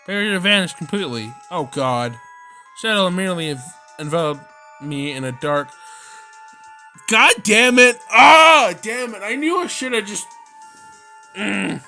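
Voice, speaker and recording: A man with a fairly high voice streams a story game. He reads the narration aloud and reacts in exclamatory, slangy asides. Music plays clearly behind his voice. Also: expressive, a little slow, pauses often, pushed and bright. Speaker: let's play streamer. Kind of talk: visual novel let's play with read-aloud narration and reactions. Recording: prominent background music.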